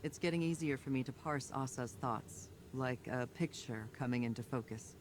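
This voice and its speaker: A man's voice: medium pitch, moderate pace, slight background noise, lightly acted. A man playing a resolute, burdened military commander, earnest and firm, in a video game story monologue. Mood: reflective.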